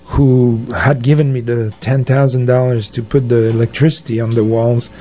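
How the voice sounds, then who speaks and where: An older man telling anecdotes as an interview guest, in a halting, conversational way. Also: medium pitch, flat delivery, dark tone. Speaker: interview guest, arts organizer. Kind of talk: conversational reminiscing.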